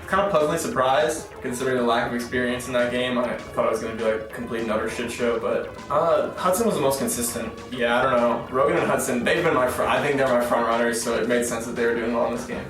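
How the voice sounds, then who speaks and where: A man's voice: mid-range voice, fast, few pauses, recorded from a distance. A man giving casual, blunt, offhand answers in an interview. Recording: roomy, off-mic, music over it.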